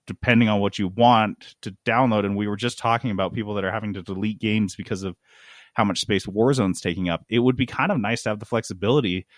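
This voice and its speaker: A man's voice: fairly low pitch, steady tone, quick pace, dry recording. Casual male gaming podcast host, dry close sound. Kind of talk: casual gaming news discussion.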